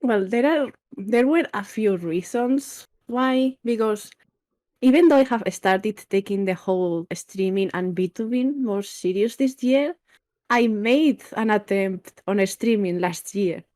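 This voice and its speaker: A woman's voice: mid-range voice, measured pace, darkish tone. Spanish-accented woman, friendly interview guest. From Spain. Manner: friendly and laughs easily, at times searching for words. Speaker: guest, VTuber artist. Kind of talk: casual interview chat.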